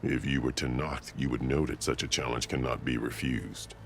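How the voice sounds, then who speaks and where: Very deep-voiced, solemn warrior chief. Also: male voice, steady tone, a little hiss or hum. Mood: grave, recounting. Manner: formal, solemn, measured storytelling. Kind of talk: dramatic video game dialogue. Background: music.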